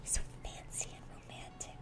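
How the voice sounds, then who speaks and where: A woman playing a dorky, smitten young demon girl in a gentle, rambling, affectionate ASMR roleplay. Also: normal speed, natural voice. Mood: earnest, enthusiastic.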